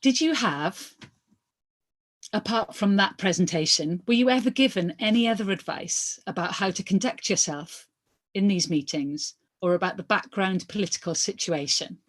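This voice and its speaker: A woman's voice: mid-range voice, moderate pace. A woman reading a lawyer's questions aloud from a hearing transcript, formal and inquiring, with occasional stumbles.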